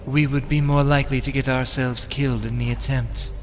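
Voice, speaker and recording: Man acting measured, skeptical fantasy adventurer. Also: medium pitch, flat delivery, a little hiss or hum, close to an everyday voice. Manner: measured, doubtful, formal. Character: Durrani, cautious, skeptical male adventurer. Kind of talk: fantasy audio drama acting.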